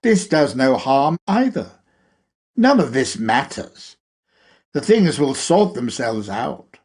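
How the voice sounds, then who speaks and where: A man reading a spiritual lecture aloud in a measured, emphatic manner. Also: medium pitch, sing-song, a little mellow, husky. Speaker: reader of a lecture.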